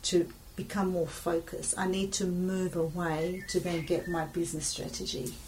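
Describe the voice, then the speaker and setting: Woman, low-pitched, thoughtful and halting, distant mic with faint hiss. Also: level tone, a little mellow. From Australia. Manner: reflective, halting, laughs often. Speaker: business owner talking about her drawing. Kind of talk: casual chat.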